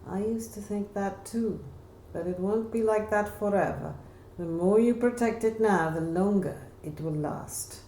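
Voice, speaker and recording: A woman's voice: medium pitch, measured pace, few pauses, mellow, voice pushed up, lightly acted. A woman voicing a mature, caring Spanish singing teacher in a novel, advising earnestly and emphatically. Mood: concerned, earnest. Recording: roomy echo, faint background noise.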